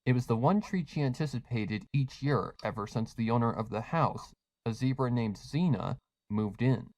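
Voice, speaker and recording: A man's voice: mid-range voice, moderate pace, a little mellow, no room sound, rough recording. A man reading a scripted horror fan fiction story as its narrator.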